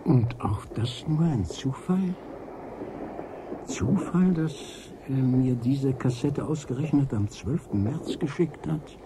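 An actor playing a pensive traveling priest who muses hesitantly and politely in a radio play. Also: male voice, mid-range voice, lively intonation, slow pace, noisy background. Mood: brooding. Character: pensive priest, male traveler brooding over memories. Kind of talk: 1980s German radio play acting.

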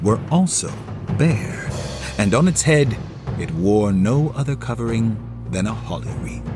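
A man narrating a classic story as a solo audio play, with theatrical, deliberate phrasing. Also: lowish voice, lively intonation. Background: music under the voice.

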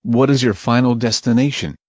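A man's voice: mid-range voice, steady tone, a little mellow, no echo. Man reading phrases, slightly muffled. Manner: measured, plain, each phrase read alone. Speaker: English phrase reader. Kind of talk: slow clear phrase reading for learners. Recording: dull, slightly muffled dry audio.

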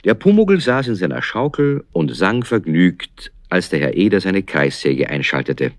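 An actor playing a kindly storyteller, narrating a scripted children's radio play. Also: male voice, deep voice, very animated, normal speed, no echo, studio quality. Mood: storytelling. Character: male storyteller, lively and kindly.

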